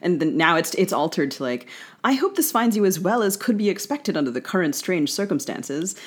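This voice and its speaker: A woman's voice: fairly low pitch, very animated, fairly quick, slightly rough. A woman co-hosting a casual literary conversation in a discursive, wry way. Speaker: podcast co-host.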